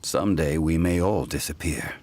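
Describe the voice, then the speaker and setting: A man voicing a calm, ancient god in mortal guise in a video game, speaking in measured, formal, wistful storytelling. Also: rumbling low, heavy background noise. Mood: reflective, wistful. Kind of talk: scripted video game character dialogue.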